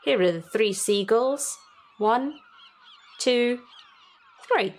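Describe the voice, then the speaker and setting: A woman hosting a children's story time, reading aloud in a deliberate, teacherly way and putting questions to young listeners. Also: fairly high pitch.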